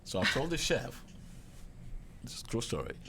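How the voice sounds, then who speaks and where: A man in his fifties with a Nigerian accent, a guest chef telling relaxed stories full of fillers. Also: medium pitch, normal speed, a little hiss or hum. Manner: relaxed, chuckling anecdotes with many fillers. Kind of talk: casual interview storytelling.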